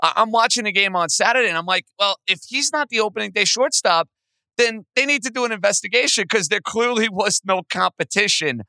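A man with a booming voice hosting a sports podcast, excitable and emphatic in short punchy phrases. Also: fairly quick, vocal fry, clean tone, dry recording. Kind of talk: energetic sports commentary and interview.

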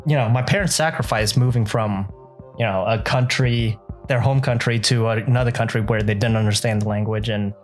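A young man from Southern California, born to a Vietnamese-speaking home, talking as a cinematographer guest in a relaxed, reflective interview. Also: on the low side, normal speed, soft background music. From Southern California, USA. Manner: relaxed, reflective, uses many fillers. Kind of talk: casual interview conversation.

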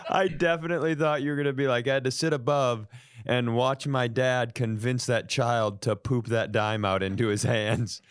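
A man's voice: mid-range voice, fairly even intonation, moderate pace, clear, resonant tone, no echo. An American man co-hosting a comedy podcast with casual, self-deprecating banter and wry interjections. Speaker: podcast co-host.

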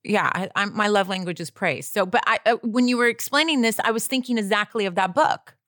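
A woman's voice: medium pitch, brisk, a bit bright, no echo, clean studio sound. A woman co-hosting a podcast, chatting casually in a bubbly, enthusiastic way and giggling often. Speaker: podcast co-host.

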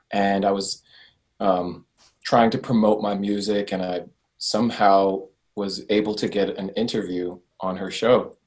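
Relaxed, joking man from Southern California. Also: lowish voice. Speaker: guest. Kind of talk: casual podcast interview.

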